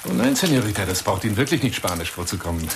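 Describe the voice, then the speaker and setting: A very deep-voiced, pompous lion hunter pours out a flirtatious telephone monologue. His delivery leaps and swoops theatrically, grand and insistent, in radio drama style, over a clearly noisy, crackling recording. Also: big pitch swings, racing. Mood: rhapsodic.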